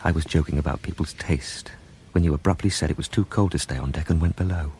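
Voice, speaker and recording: An actor playing a curt, possessive British husband in a radio drama, speaking in a brusque, firm way. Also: male voice, a bass voice, fast, a little mellow, a little hiss or hum. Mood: brooding narration. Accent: British English. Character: curt, possessive, practical new husband fond of boats. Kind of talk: radio drama acting and narration.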